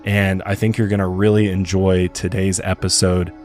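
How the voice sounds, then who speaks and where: A hoarse male podcast host, chatty and rambling, talking in a studio. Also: sits low, little pitch movement, normal speed, darkish tone, soft background music. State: hoarse from illness. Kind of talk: podcast interview.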